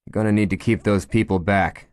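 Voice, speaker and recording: A man voicing a steady male police chief who gives firm, level orders. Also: fairly low pitch, brisk, natural voice. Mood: calm.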